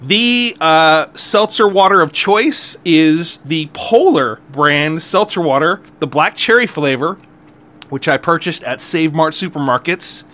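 Man, middle-aged, somewhat high voice, casual solo podcast chat, rambling, self-deprecating. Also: lively intonation, a little slow, sharp tone. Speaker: golf podcast host.

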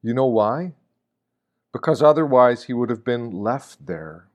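A man reading a scripted, reflective meditation with measured, deliberate phrasing and pauses. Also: mid-range voice, animated, darkish tone, muffled recording. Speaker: reader of meditations.

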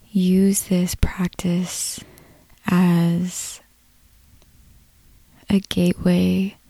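A woman leading a guided meditation in a soft, breathy, calm voice, unhurried, with long pauses between short phrases. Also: lowish voice, dry recording, low-quality recording. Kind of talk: slow guided meditation narration.

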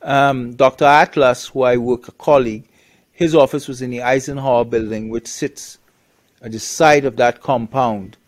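A man's voice: mid-range voice, fairly even intonation, normal speed. A man from Trinidad, a guest on the show, talks bluntly in a casual interview, with many restarts and fillers.

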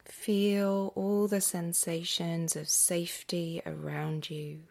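A woman leads a guided meditation in a lowish, breathy voice that often drops close to a whisper. Her delivery is very slow and gentle, with long pauses. There is a noticeable creak in her soft, soothing tone. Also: little pitch movement. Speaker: yoga nidra meditation guide.

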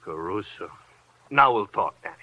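Animated New York cabbie, old radio. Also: male voice, fairly low pitch, moderate pace. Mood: confiding. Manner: expressive, effusive, homely. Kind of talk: 1940s radio acting. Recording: dull vintage 1940s radio recording.

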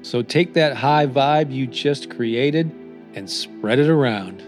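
Guy with a slightly high voice doing motivational affirmations real slow, soft new-age music behind him. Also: animated. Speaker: host reading self-help affirmations. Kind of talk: scripted motivational affirmations and podcast narration.

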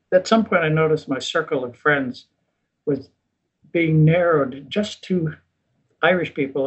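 An older man talks with a husky, slightly rough voice, easygoing and ready to laugh at himself. He tells his story unhurried, as a guest in conversation. The recording sounds dull and lo-fi. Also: a little high. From United States. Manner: relaxed, self-deprecating, chuckles at himself. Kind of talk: casual interview storytelling.

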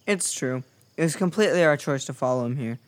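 A man's voice: medium pitch, lively intonation, fast talker, dry recording, lightly acted. A man playing a thoughtful, earnest young boy in a children's audio drama. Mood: earnest, curious.

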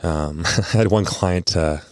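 A man's voice: low-pitched, slow, dry recording, clean studio sound. A man talking in a chatty, informal, rambling way, as an investment adviser hosting his own casual podcast. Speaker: investment adviser and show host. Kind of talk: casual monologue on a financial podcast.

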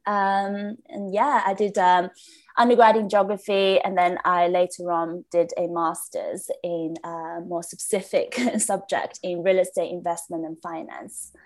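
A woman in her late twenties from China, a podcast guest chatting in an upbeat way, laughing often and filling pauses with "um". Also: lowish voice, steady tone. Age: late 20s. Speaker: podcast guest, business founder. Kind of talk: casual interview chat.

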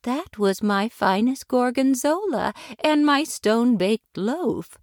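A woman voicing a very small, very old, gentle and dotty lady in a storybook tale, mild and chatty. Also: on the low side, lively intonation, takes it slowly, no room sound, studio quality. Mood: hurt pleading.

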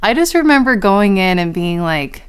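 A woman's voice: medium pitch, big pitch swings, normal speed, clean tone. A young woman in her twenties, a podcast co-host, chatting casually and laughing often as she talks. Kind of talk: casual chat.

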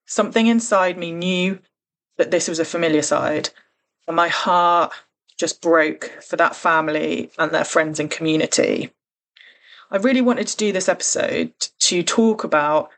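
A woman hosting a true crime podcast, talking in an earnest, careful and conversational way. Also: fairly low pitch. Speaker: podcast host.

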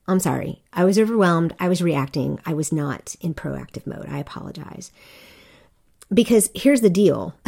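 A woman's voice: lowish voice, a little mellow. A middle-aged woman from Korea hosting a solo podcast, talking candidly and conversationally with fillers and restarts. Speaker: podcast host.

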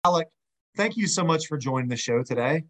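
Man, podcast co-host, slightly hoarse, friendly conversational delivery. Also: fairly high pitch, expressive, brisk, a bit bright. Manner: friendly, chatty. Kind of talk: casual podcast chat.

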